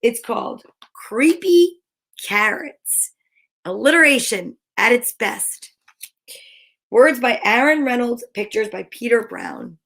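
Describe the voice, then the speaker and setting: A woman reading a children's picture book aloud in a theatrical, suspenseful, drawn-out way. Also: a little high, expressive, vocal fry, fairly bright tone, poor audio. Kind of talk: dramatic children's story read-aloud.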